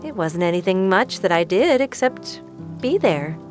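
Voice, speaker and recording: An actress playing an amused, kindly, devout neighbor woman in an audio drama, expressive and patient. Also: medium pitch, unhurried, faint music behind. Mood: gentle, sincere.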